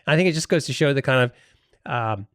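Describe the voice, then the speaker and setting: A man's voice: mid-range voice, fairly quick, a bit bright, no room sound, studio quality. A man hosting a casual interview podcast, thinking aloud with hesitations and repeated words.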